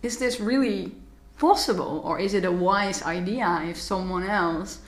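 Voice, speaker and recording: A woman whose first language is Dutch asks probing questions as a podcast host. Her pitch sits in the middle, and the sound is echoey and roomy. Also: unhurried. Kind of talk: podcast interview.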